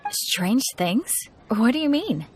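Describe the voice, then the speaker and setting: A woman's voice: fairly high pitch, very animated, takes it slowly, noisy background. A woman voicing a composed, faintly amused young shopkeeper in a video game, explaining things matter-of-factly. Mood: amused, puzzled.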